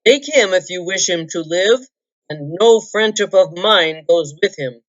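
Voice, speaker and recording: A woman voicing a proud, bitter male dwarf king in a storybook reading, grim, forceful and commanding. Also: on the low side, animated, measured pace. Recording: dull lo-fi roomy recording.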